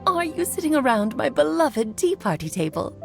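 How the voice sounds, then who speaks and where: A woman's voice: high voice, sing-song, moderate pace, gravelly, heavily acted. A woman voicing a playful, grand elder witch in a video game, theatrical and coy, with a motherly storytelling manner. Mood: coy, teasing. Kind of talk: video game character acting. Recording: music playing behind.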